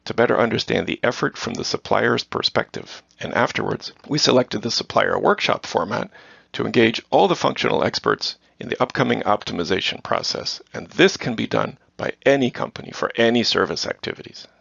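A middle-aged man from the Netherlands, a guest giving measured, prepared answers in a corporate interview. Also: medium pitch, lively intonation, creaky, fairly bright tone, slightly rough, dry recording. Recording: dull lo-fi dry sound.

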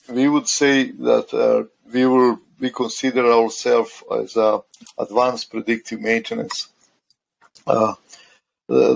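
Gravelly middle-aged man. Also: medium pitch, poor audio. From Croatia. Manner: halting, searching for words in non-native English. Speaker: guest, asset condition manager. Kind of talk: conversational podcast interview.

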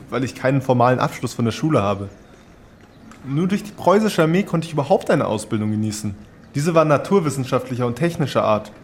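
A man's voice: mid-range voice, a little mellow, slight background noise, natural voice. A man playing an earnest, brooding nineteenth-century Prussian inventor in a formal, declamatory audio drama monologue.

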